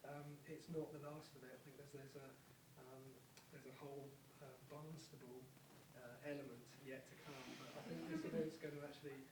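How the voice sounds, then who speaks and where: A man's voice: medium pitch, level tone, a little fast, few pauses. A man hosting an event, giving an informal, hesitant introduction, recorded from afar in an echoey, noisy room. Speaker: event host introducing a performance. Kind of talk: informal, unscripted welcome speech. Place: arts venue, recorded from afar. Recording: echoey, noisy, distant microphone.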